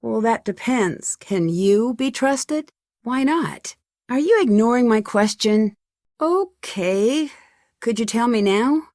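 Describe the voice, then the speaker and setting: A young American woman voicing an earnest, curious and polite amateur detective in an adventure game, with clearly enunciated lines. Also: fairly high pitch, animated, slow pace, a little mellow, no echo, clean studio sound. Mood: probing. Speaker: voice actor for a game's detective heroine. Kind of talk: scripted adventure game dialogue.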